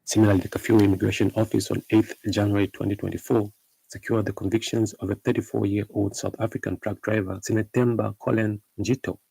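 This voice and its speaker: Male news reporter, husky and low, flat scripted read, gravelly edge. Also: fast talker, no room sound, poor audio.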